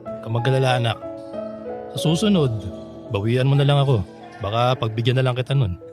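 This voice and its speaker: A man's voice: mid-range voice, a little fast, lightly acted. An actor playing a competitive, teasing but devoted middle-aged father in a radio drama, exhorting his son. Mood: fatherly, earnest. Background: background music.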